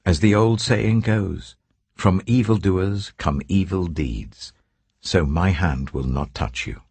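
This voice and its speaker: Man acting an impassioned, wronged warrior, raised husky voice. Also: low-pitched, slow, darkish tone, dry recording, voice pushed up, heavily acted. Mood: impassioned pleading. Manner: declaiming, impassioned. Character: earnest wronged young warrior pleading with his king.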